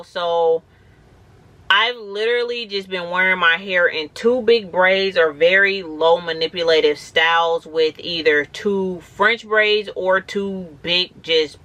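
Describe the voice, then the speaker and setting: Thirties woman vlogger, slightly hoarse and raspy, bright tone, casual rambling chat. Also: fairly low pitch, muffled recording. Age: 30s. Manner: rambling, conversational, many "like"s. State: hoarse from a cold, with braces. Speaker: natural hair vlogger. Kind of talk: casual talking-to-camera vlog.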